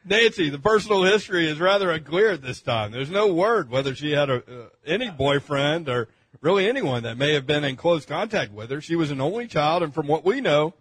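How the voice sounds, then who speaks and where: A man playing a male local news reporter, giving a measured, formal report in a parody reading. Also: a little high, animated, a bit bright, slightly rough, no echo, low-quality recording.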